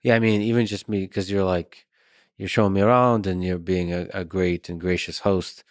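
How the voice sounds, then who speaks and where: A man hosts a podcast interview in a very deep, low voice. He asks slow, measured questions, often restarting mid-phrase. The sound is dry and close. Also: level tone, no room sound. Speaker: podcast host. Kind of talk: interview conversation.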